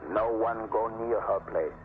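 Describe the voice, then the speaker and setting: An actor playing a curt, gruff island boat pilot who speaks in clipped broken English, in an old radio drama. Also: male voice, lowish voice, unhurried, faint music behind, close to an everyday voice. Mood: grim. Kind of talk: 1930s radio acting. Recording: tinny, hissy old radio broadcast.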